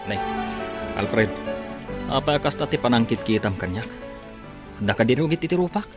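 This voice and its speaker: A man's voice: mid-range voice, expressive, normal speed, over loud music, lightly acted. An actor playing an easygoing, chummy male friend who is lonely in love, in a radio drama. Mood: curious. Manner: casual, chummy.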